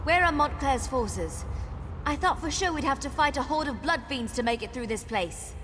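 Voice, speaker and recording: An actress playing a determined, headstrong young vampire apprentice in a video game, earnest and insistent. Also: female voice, sits high, lively intonation, moderate pace, fairly bright tone. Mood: wary. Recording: long reverb, clear background noise.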